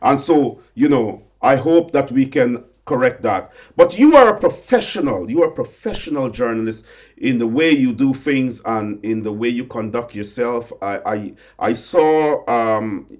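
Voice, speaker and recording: A man's voice: medium pitch, expressive, a little slow. A man with a Guyanese accent asks his guest questions in long, winding turns. He circles back, repeating words and restarting as he goes. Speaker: interviewer. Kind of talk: conversational interview.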